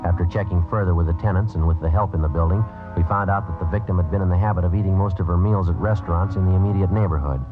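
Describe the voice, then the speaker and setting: An actor playing a matter-of-fact American police detective in an old radio crime drama, terse, deadpan and businesslike. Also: male voice, low voice, racing, hardly any pauses, over music, natural voice. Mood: deadpan, factual. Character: male police detective, clipped, matter-of-fact, methodical narrator. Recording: tinny old radio recording.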